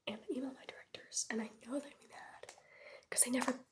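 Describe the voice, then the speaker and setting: Teen female ASMR host, higher-pitched, mostly whispered, breathy, chatty and wry storytime delivery. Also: steady tone, fast. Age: teenager. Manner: chatty, wry, youthful slang. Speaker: ASMR host showing products.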